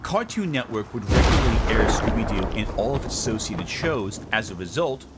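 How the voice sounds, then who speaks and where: Animated low-voiced male narrator, noisy. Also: fairly quick. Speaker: video essay narrator. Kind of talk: scripted narration.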